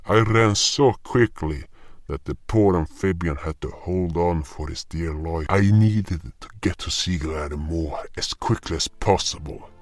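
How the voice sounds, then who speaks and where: A man playing a grim, furry, clawed hunter who narrates a fantasy audio drama in a dramatic, deliberate way. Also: sits low, dry recording. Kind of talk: scripted first-person story narration. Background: faint music.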